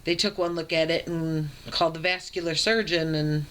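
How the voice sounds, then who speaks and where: A young adult woman with a raspy low voice, telling her own story in a casual vlog, plain and matter-of-fact with many ums and uhs. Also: steady tone, moderate pace, a bit bright. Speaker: vlogger.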